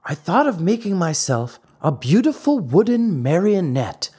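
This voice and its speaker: A dapper little old man with a very short temper, voiced by a male narrator. His storybook voice sits high and swoops up and down, emphatic and easily offended. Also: sing-song, unhurried, warm tone, breathy edge, heavily acted. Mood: eager, courteous.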